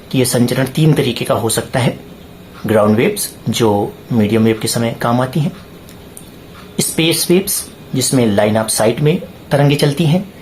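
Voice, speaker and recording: A man presenting a tutorial point by point, in deliberate, halting phrases with stressed key words. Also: mid-range voice, slight background noise. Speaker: presenter of radio hobby tutorials.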